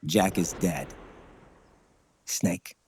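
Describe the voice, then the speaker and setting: An actor playing a mysterious, somber warrior ally in a video game, speaking solemnly in a gravelly murmur. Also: male voice, sits low, a little mellow, slight background noise. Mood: somber warning. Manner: slow, solemn, cryptic. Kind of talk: cinematic video game voice acting.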